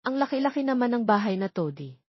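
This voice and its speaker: A woman's voice: on the high side, big pitch swings, fast talker, slightly rough, no room sound, highs cut off, voice pushed up. Woman voicing wistful, eager young student. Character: young student housekeeper's child, wistful, eager, easily amazed.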